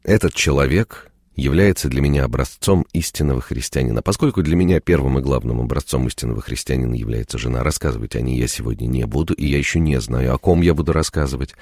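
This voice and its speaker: A man's voice: rumbling low, darkish tone, no echo, studio quality. A man with a cold and a nasal voice, hosting a radio programme with theatrical, ornate phrasing. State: sick with a cold. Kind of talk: unhurried radio presenting and studio chat. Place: radio studio. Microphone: studio microphone.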